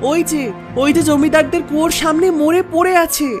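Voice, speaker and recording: Lady doing a high pitched panicky village aunt, wailing, cartoon, music behind. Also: fairly even intonation, brisk, natural voice. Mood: panicked. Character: distraught village woman crying out bad news. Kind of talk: dramatized cartoon dialogue.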